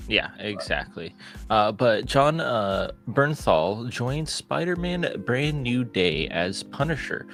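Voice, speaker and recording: A young man co-hosting a movie podcast, talking in a relaxed, hesitant way with restarts. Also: mid-range voice, slow pace, soft background music. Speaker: podcast co-host. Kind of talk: casual movie news chat.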